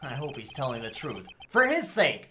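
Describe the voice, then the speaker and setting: A woman's voice: very deep voice, wildly swooping pitch, normal speed, background noise, full character voice. A woman voicing a sarcastic young male defense lawyer in a fan game dub, dry and easily exasperated, with music behind. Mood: musing. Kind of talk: hobby fandub character acting and narration.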